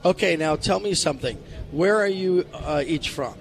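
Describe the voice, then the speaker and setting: A man's voice: fairly high pitch, moderate pace, faint music behind. A man hosting live radio on location, chatty and announcer-like. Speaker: radio host and interviewer. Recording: ambient event noise behind voice.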